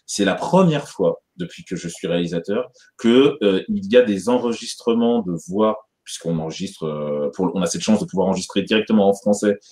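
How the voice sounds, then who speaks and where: Male animation director, low voice, casual and rambling, remote panel. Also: brisk, few pauses, darkish tone. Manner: rambling, many restarts.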